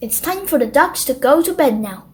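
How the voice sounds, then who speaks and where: A woman voicing a kindly old grandmother pig in a children's storybook, gentle and caring. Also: sits high, animated, moderate pace. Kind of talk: children's storybook read-aloud.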